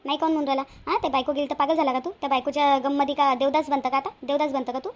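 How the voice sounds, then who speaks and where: Woman voicing a high, nagging Vidarbha mother. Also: fairly even intonation, racing, close to an everyday voice. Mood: scolding. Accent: Vidarbha Marathi. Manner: scolding, repetitive, homely. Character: bossy, nagging village mother of a grown son. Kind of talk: homemade cartoon voice acting.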